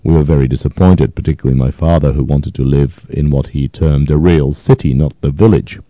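Very deep-voiced man in his fifties, from Poland. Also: dry recording, dull audio. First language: Yiddish. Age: 50s. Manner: thoughtful, hesitant, with frequent pauses and fillers. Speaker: interviewee. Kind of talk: reflective oral history interview.

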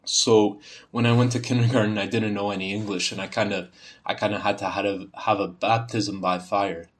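A man's voice: lowish voice, normal speed. A young man from Ontario who grew up speaking Serbian, with a Canadian accent touched by Serbian, reading a passage carefully and then talking about himself. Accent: Canadian, faint Serbian. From Ontario, Canada, born in Bosnia. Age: young adult. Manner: even, careful reading; hesitant, filler-laden when talking freely. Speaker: university student, dialect archive speaker. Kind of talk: reading a set passage, then casual self-introduction.

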